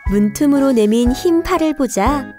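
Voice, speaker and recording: High, mellow woman storyteller. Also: lively intonation, normal speed. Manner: clear storytelling to young children. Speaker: narrator. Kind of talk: scripted children's fairy-tale narration. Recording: faint music behind the voice.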